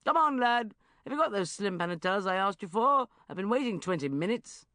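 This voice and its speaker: A woman voicing a loud, brash older uncle, roaring and hearty. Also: sits low, sing-song, moderate pace, dry recording, clean studio sound, full character voice. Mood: blustering.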